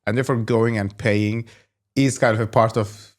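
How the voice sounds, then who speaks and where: Brief: male interview host, lowish voice, loose chatty questioning. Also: steady tone, normal speed. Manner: rambling questions full of "you know" and "like". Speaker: host and company executive.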